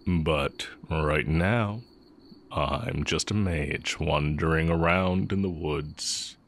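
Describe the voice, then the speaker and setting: A man playing a tender, sly heretic mage and former teacher in a romantic audio roleplay, speaking in measured, formal phrases. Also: a bass voice. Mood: tender, teasing. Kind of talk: romantic audio roleplay, intimate scripted acting.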